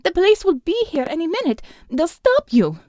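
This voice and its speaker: A woman voicing a frightened young shopkeeper who speaks in a higher, trembling voice. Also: big pitch swings, normal speed, a little mellow, no room sound, clean studio sound. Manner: trembling, pleading.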